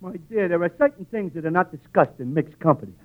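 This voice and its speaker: A man's voice: on the high side, expressive, fast, lightly acted. A man playing a dim-witted, self-satisfied tavern regular in 1940s radio comedy, hesitant and obliviously proud. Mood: smug, oblivious. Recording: muffled, noisy 1940s radio, studio audience.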